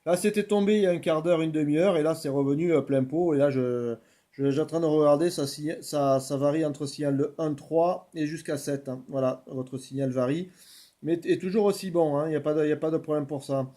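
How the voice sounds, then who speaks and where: Mellow, slightly high-voiced radio operator man. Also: fairly quick. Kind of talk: casual radio contact chat.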